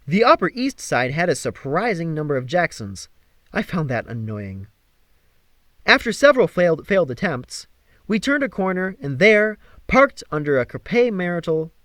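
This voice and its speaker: Lively, higher-pitched male audiobook narrator. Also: no echo. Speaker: audiobook reader. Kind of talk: expressive scripted narration of a young-adult fantasy novel.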